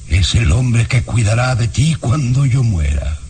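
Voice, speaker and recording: An actor playing a stern, secretive baron and father in a melodramatic radio drama, commanding and deliberate. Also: medium pitch, sing-song, a little mellow. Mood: grave, evasive. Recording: faintly noisy old radio recording.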